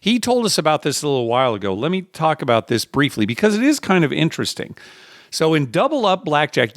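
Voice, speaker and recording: Man hosting a podcast, very animated pitch. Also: dry recording, clean studio sound. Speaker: podcast host. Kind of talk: casual conversational podcast talk.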